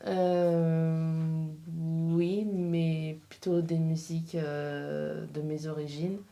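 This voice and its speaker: A woman interview guest with a low, soft-edged, mellow voice. She speaks slowly and hesitantly, searching for words as she answers in a casual way. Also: flat delivery. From Portugal. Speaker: interview guest, freelance embroiderer.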